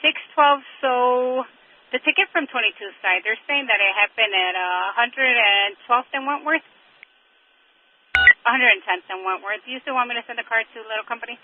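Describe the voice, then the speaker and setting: The sound is a thin, phone-like scanner feed with clear static and clicks. A man with a high voice speaks as a police dispatcher. He passes along information in procedural radio phrasing. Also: normal speed. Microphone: two-way radio heard over a scanner.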